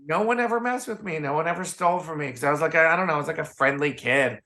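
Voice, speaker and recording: A man's voice: on the high side, fast talker, bright, forceful tone. A man from Chicago with an American accent, a podcast guest telling casual, chatty anecdotes and voicing the dialogue in them. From Chicago, United States. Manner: chatty, acts out quoted dialogue.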